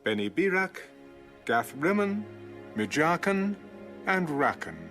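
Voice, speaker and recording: A man reading Bible scripture as a scripted audiobook narration, measured and deliberate. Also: on the high side, sing-song, a bit bright, faint music behind.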